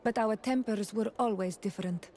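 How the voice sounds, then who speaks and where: An actress playing an earnest, gentle young island tribeswoman in a video game, speaking in measured, formal phrases. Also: mid-range voice, slight background noise, close to an everyday voice. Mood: gentle, warm. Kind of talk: video game romance dialogue acting.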